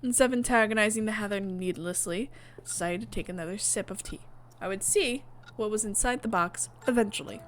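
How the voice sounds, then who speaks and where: A woman's voice: medium pitch, a little fast, hardly any pauses, slight background noise. A woman reading story narration aloud from a visual novel in a casual playthrough. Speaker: visual novel reader and streamer.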